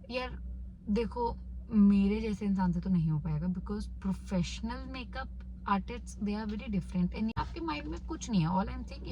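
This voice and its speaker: Indian woman in her twenties, slow chatty vlogger. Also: mid-range voice, a little mellow, muffled recording, a little hiss or hum. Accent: Indian English. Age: 20s. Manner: switches between Hindi and English. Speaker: YouTuber. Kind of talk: casual Q&A vlog.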